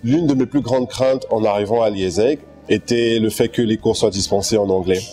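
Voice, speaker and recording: A man's voice: lowish voice, brisk, mellow. A young man with a Central African French accent giving a student testimonial in an interview. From Cameroon, of Congolese descent. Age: young adult. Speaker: accounting student. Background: guitar music.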